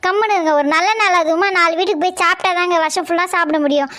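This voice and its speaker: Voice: very high voice, steady tone, very fast, sharp tone. A performer voicing a bossy, harried mother who nags and coaxes, in a comedy skit. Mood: exasperated. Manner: nagging, coaxing, protesting. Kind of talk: family comedy skit acting.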